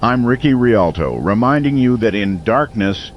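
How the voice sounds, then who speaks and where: A man playing a brooding, earnest small-town radio host in an audio drama, delivering a measured, portentous monologue. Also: mid-range voice, expressive, dull audio, a little hiss or hum, lightly acted. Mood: solemn. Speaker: late-night community radio host.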